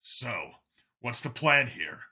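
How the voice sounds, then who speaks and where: A man voicing a gruff, weary, irritable human cop in a science fiction audiobook, grumbling and blunt. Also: medium pitch, very animated, takes it slowly, bright, forceful tone, pitched below the performer's own voice, full character voice. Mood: businesslike.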